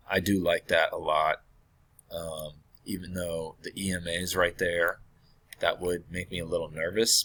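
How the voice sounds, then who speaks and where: A man walking through a trading chart in a casual, hesitant way, hoarse and losing his voice from illness, often clearing his throat and correcting himself. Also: low-pitched, sharp tone. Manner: thinking aloud, hesitant with many fillers and self-corrections. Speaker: trading analyst.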